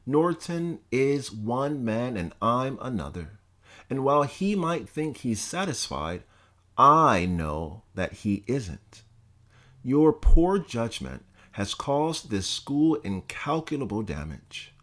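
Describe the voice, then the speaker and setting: A man's voice: medium pitch, very animated, slow, fairly bright tone. A man voicing a domineering older male college president in an audiobook, scolding and contemptuous in tone. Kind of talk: audiobook character voice.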